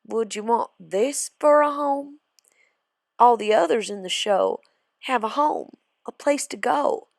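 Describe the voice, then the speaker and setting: A woman's voice: a little high, slow pace, gravelly, no echo, pitched above the performer's own voice. A woman voicing a sad, lonely little cowboy boy in a storybook, plaintive and close to sobbing. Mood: lonely pleading.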